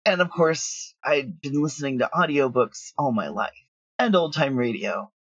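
On a dry, lo-fi remote recording, a middle-aged woman with a husky voice chats casually. She tells stories in an animated manner as an interview guest. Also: rumbling low, normal speed, dry recording. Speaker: guest, audio drama writer and producer. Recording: dull, lo-fi remote connection.

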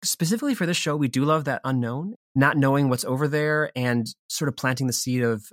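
Fast-talking man in a dry, close recording. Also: mid-range voice, no echo. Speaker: audio drama creator, podcast guest. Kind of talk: conversational interview.

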